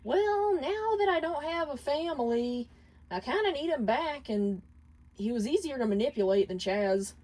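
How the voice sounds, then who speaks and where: A woman acts out a scheming ex-wife with a voice pitched well up. She is brash and manipulative, defensive and pleading by turns, in a dramatic story reading. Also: animated, normal speed, darkish tone. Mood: reluctant. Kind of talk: dramatic fan fiction reading, partly sung.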